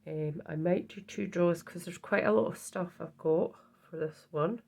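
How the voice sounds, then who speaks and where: A woman with a hoarse voice from a cold, chatting casually on her craft vlog and showing her project, with many ums and restarts. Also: fairly low pitch, normal speed, a little mellow. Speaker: craft channel host.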